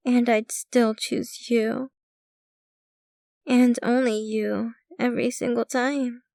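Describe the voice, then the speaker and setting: A woman playing a possessive, centuries-old vampire girlfriend in an intimate ASMR roleplay, speaking in an unhurried, doting yet casually sinister way. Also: on the high side, darkish tone, no room sound, studio quality. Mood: devoted. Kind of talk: ASMR roleplay, intimate scripted monologue to the listener.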